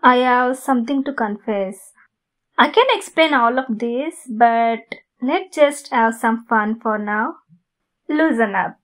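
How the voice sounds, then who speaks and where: Woman acting a high-voiced, flustered demon girlfriend. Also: fairly bright tone. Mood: evasive, nervous. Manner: halting, flustered, intimate delivery. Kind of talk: ASMR roleplay.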